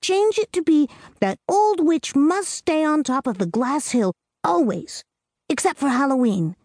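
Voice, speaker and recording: A woman's voice: high voice, lively intonation, measured pace, a little mellow, dry recording, voice pushed up. A woman reading a storybook, voicing an earnest, commanding little girl who lays down rules. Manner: earnest, commanding, a bit solemn. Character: bossy imaginative little girl Amy.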